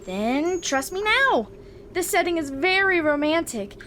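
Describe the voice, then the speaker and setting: Woman as a high, girlish, gushing girl robot. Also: very animated, unhurried, a bit bright, a little hiss or hum, full character voice. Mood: dreamy, coaxing. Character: cheerful, romantic matchmaking young girl robot. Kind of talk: comic dub voice acting.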